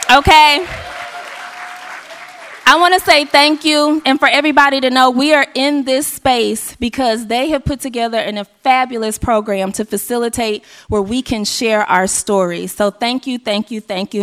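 A woman from Arkansas, her voice hoarse and worn, hosts a live event on a stage mic. She rallies the audience with energy and call and response, her voice sitting a little high. Faint crowd sounds carry through the hall. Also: steady tone, normal speed, fairly bright tone. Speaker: event emcee. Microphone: handheld stage mic.

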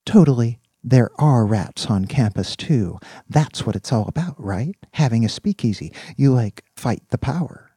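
A man's voice: mid-range voice, expressive, measured pace, hardly any pauses, mellow, husky, no echo, clean studio sound, pitched above the performer's own voice, full character voice. A man voicing an eager, naive young male college student in an audiobook, chatting in casual slang. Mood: eager, chatty. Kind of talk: audiobook character dialogue.

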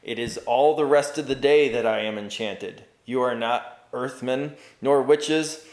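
A man's voice: mid-range voice, measured pace, a little mellow, reverberant, lightly acted. A man voicing a tormented enchanted knight bound in a chair, pleading in grand old-fashioned speech and rising to frenzy.

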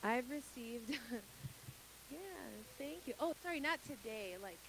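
A woman's voice: on the high side, lively intonation, takes it slowly. A woman in her twenties gives a personal testimony to a congregation, candid and earnest, and laughs at her own slips. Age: 20s. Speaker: church member sharing her testimony. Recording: hissy background noise.

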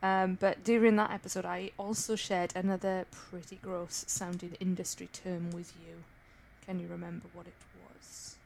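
A woman's voice: fairly low pitch, level tone, normal speed, vocal fry, a little mellow. A British woman co-hosts a podcast in easy, chatty talk. She laughs often and pokes fun at herself. Faint noise hums behind her voice. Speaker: podcast co-host. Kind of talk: casual podcast chat.

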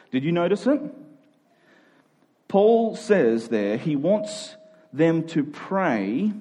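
Preacher with a husky edge. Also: male voice, on the high side, warm tone. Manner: repeats key phrases for emphasis, with rhetorical check-ins. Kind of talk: sermon.

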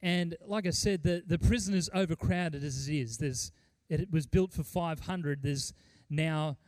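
A middle-aged man preaching as a pastor, speaking earnestly and emphatically, with deliberate pauses and repeated phrases. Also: a little high, darkish tone, no echo. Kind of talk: sermon with mission report and scripture reading.

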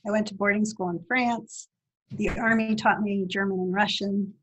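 A woman's voice: mid-range voice, fairly even intonation, normal speed, a bit bright, slight background noise, rough recording. A woman whose first language is Italian, answering interview questions thoughtfully, with frequent fillers and restarts. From Italy. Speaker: university guest. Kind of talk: podcast interview.

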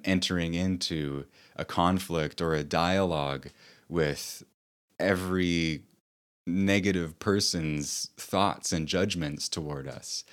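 Deep-voiced male author-host, soft-spoken and thoughtful, speaks slowly with pauses. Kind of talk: reflective personal talk.

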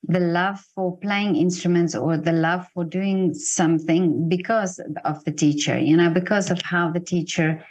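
Afrikaans-woman interviewer, somewhat low voice, supportive and conversational. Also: steady tone, moderate pace, few pauses. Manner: warm, encouraging, frequent backchannels.

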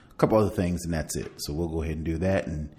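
A middle-aged American man in casual podcast conversation, co-hosting with a halting, laid-back delivery. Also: rumbling low, steady tone, darkish tone. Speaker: podcast co-host. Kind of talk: casual chat.

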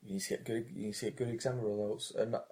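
A man's voice: lowish voice, level tone, fast, crowd noise. A British man co-hosting a podcast in casual chat, rambling with many restarts and fillers. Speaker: podcast co-host.

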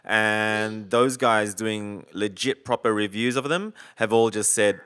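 A man's voice: lowish voice, slow pace, a bit bright, smooth. A man chatting casually on a call about recording gear, rambling with lots of fillers and the odd swear. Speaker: podcaster on a video call.

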